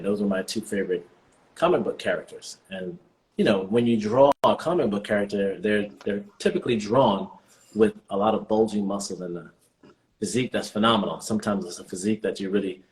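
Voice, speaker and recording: A man in his forties with a fairly low voice answers interview questions about his own path, calm and reflective. He talks in long, loose stretches full of small fillers and restarts. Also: poor audio. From born in the US, raised partly in Jamaica. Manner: relaxed, reflective storytelling with many "you know" fillers. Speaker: guest, vegan bodybuilder.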